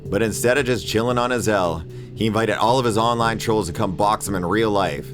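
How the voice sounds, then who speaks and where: A man's voice: fairly low pitch, fairly quick. A man in his thirties narrating a casual video essay in an irreverent, opinionated, slangy and profane way. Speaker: video essayist, channel host. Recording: music playing behind the voice. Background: background music.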